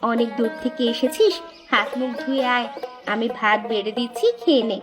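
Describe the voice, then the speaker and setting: A woman voicing an expressive village aunt in a cartoon, with a homely, coaxing and scolding manner. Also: on the high side, fairly quick, music in the background, natural voice. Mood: hospitable. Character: village aunt, hospitable upfront, grumbling privately. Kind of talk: amateur cartoon dubbing.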